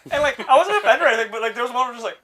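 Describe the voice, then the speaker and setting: A man's voice: extremely high pitch, racing. A young Canadian man chatting casually on a podcast, telling stories and acting out what people said, laughing often. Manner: animated storyteller, laughs often, acts out quoted lines. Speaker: podcast co-host. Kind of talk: casual chat.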